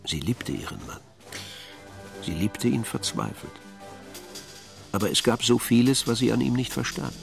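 A man narrating a scripted radio drama as a storyteller, with a gravelly voice. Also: medium pitch, sing-song, normal speed, pauses often, over music.

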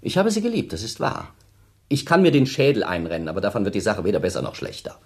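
Scornful thirty-year-old ex-lover, radio drama, low husky voice, wildly swooping intonation, haughty, dismissive. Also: male voice, wildly swooping pitch, fast talker, darkish tone, heavily acted. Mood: scornful, exasperated. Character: cold, self-pitying thirty-year-old man, callous scornful former lover. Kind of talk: German radio play acting.

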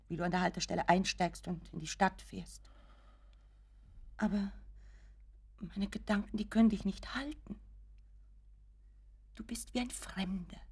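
Breathy, anxious, doting mother. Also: medium pitch, darkish tone, heavily acted. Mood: anxious, pleading. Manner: pleading, drawn-out, dropping into whispers. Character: anxious, doting mother clinging to hope for her son. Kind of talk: German radio play acting.

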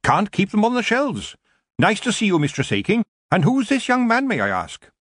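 An old city witch acted out by a male narrator in a storybook, chatty, knowing and motherly. The voice sits well above a man's usual pitch and swoops widely. It teases briskly, with a slightly husky edge. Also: huge pitch swings, no room sound, clean studio sound, exaggerated voice. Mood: chatty, curious. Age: older. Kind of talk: audiobook character voice.

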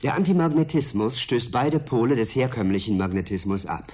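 An actor in a German radio drama playing a politely persistent journalist with a dry, probing manner. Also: male voice, medium pitch, a little fast, darkish tone, breathy edge, natural voice.

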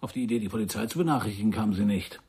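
An actor playing a blunt, sardonic private detective in a radio crime drama, drawling his probing questions in a gravelly, husky voice. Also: fairly low pitch, very fast, darkish tone.

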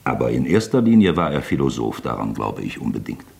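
A man's voice: very deep voice, sing-song, a little fast, gravelly, a little mellow, exaggerated voice. An actor in a German radio drama playing a wily, talkative, mock-genial male magistrate who toys with his suspect, insinuating and falsely chummy. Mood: mocking, probing.

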